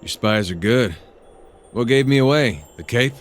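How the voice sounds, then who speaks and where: An actor playing a wry, irreverent former soldier in a video game, with a dry, sardonic delivery. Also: male voice, medium pitch, expressive, measured pace, a little hiss or hum, natural voice. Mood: wry, defiant. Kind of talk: scripted video game dialogue.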